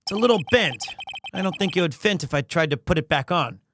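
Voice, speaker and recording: A man's voice: on the high side, lively intonation, fast talker, noisy background. A man voicing a dry-witted, easily exasperated young male defense lawyer, reading video game dialogue and inner monologue in a matter-of-fact way. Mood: observant.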